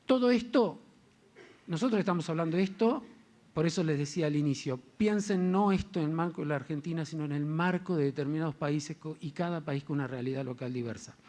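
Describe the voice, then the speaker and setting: A man's voice: fairly high pitch, a little fast, darkish tone. A man speaking as a panelist at a formal panel, hesitant, with frequent fillers.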